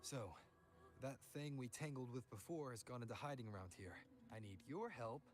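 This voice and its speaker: A man playing a calm, kind young hero in a video game, soft-spoken and measured. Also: medium pitch, lightly acted. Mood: calm, earnest. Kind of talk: understated video game acting.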